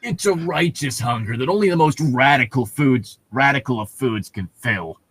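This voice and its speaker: A man's voice: mid-range voice, expressive, moderate pace, fairly bright tone, breathy edge, pitched below the performer's own voice. A man voicing a ravenous, boastful half-shark hero in mock-heroic story narration.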